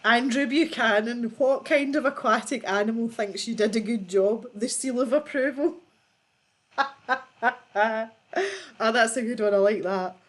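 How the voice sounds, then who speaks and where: A woman's voice: fairly high pitch, measured pace. A woman hosting a casual livestream, reading out viewer jokes and laughing often as she chats. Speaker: livestream host.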